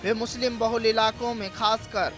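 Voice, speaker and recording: A man's voice: high-pitched, level tone, moderate pace. A man reading a dramatic scripted news report as a voice-over. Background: music bed.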